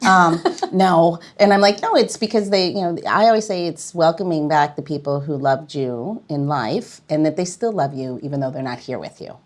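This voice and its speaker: A woman's voice: low voice, animated, normal speed, hardly any pauses. A woman hosting a casual podcast chat, telling a story and laughing often.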